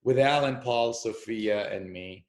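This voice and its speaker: A man talking in a relaxed interview, telling stories with lots of fillers and restarts. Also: medium pitch, measured pace, background noise. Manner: animated storyteller with many fillers and restarts. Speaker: actor and podcast guest. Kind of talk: casual interview conversation. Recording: echoey room.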